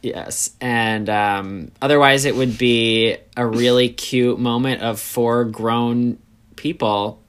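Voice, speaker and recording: Casual chat voice: American man, mid-twenties, ordinary mid-range pitch, playful, interjects often. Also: fairly even intonation, takes it slowly, fairly bright tone, clear, resonant tone. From United States.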